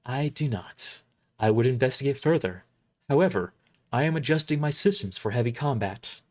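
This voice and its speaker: A man voicing a cold, haunting godlike warship AI in an audio drama, speaking in a formal, deliberate and menacingly courteous way. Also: medium pitch, expressive, lightly acted. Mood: ominous.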